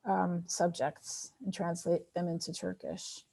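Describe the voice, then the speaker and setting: A woman's voice: on the low side, steady tone, unhurried, creaky, warm tone. A woman author who grew up speaking Armenian, answering audience questions in a thoughtful, encouraging way with light laughter. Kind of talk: online Q&A conversation.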